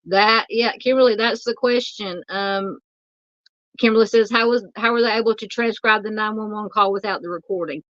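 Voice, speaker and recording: A woman with a Southern US accent hosting a true crime livestream, reading out viewer comments in a halting, filler-filled way, polite and a little hoarse from losing her voice. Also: medium pitch, steady tone, a bit bright, no echo, lo-fi sound.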